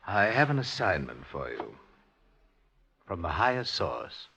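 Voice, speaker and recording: An actor playing a grave, secretive Communist Party boss in a 1950s radio drama, with a measured, clipped delivery. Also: male voice, deep voice, big pitch swings, creaky, background noise, heavily acted. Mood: secretive, deliberate. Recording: dull, lo-fi old radio recording.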